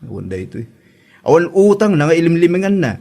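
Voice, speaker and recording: Exasperated Ilocano husband played by a man, highish voice. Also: big pitch swings, fast talker, vocal fry, dark tone. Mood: exasperated scolding. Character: exasperated village husband scolding his wife. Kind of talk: Ilocano comedy drama acting.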